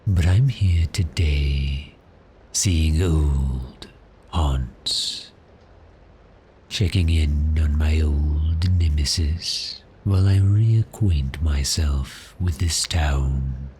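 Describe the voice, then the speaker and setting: A man's voice: a bass voice, mellow, a little hiss or hum, full character voice. A man playing a suave, predatory vampire in an ASMR roleplay, teasing and seductive with cheerful menace, his phrases trailing into breathiness. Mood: smooth menace. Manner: slow, deliberate, seductive, taunting. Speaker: audio roleplay performer.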